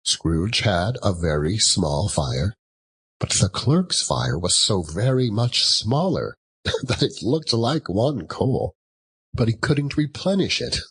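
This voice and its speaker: A man narrating an audiobook in a theatrical, dramatic storytelling style, deliberately lingering over his words. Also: lowish voice, very animated, a bit bright, husky.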